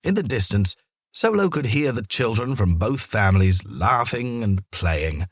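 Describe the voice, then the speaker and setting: Male storyteller, low husky voice, children's story narration, expressive scripted delivery. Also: a little slow, no echo, clean studio sound.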